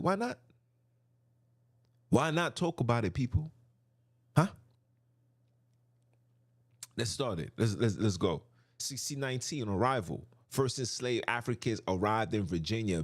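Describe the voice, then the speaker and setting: Emphatic man preaching with lively pitch. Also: dry recording, clean studio sound. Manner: preachy, emphatic, repeats himself, addresses listeners directly. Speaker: podcast host. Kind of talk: impassioned podcast monologue.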